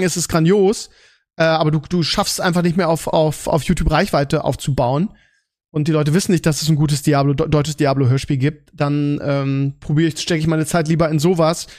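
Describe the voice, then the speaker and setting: Male podcast host, German, higher register, loose conversational delivery that circles back on itself. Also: a little mellow. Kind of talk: casual gaming podcast chat.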